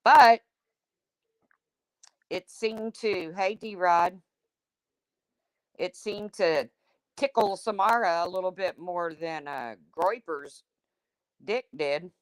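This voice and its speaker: A woman with a deep, husky smoker's voice hosting a livestream, reading chat comments and answering them in a blunt, chatty way. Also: slow pace, pauses often, no room sound, poor audio. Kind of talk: casual chat with viewers.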